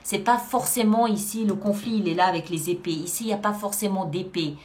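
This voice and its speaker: A woman's voice: mid-range voice, fairly quick, a little mellow. Woman giving an emphatic tarot reading. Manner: emphatic, explanatory, repeating phrases for emphasis. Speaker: tarot reader and channel host. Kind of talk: spoken tarot card reading addressed to viewers.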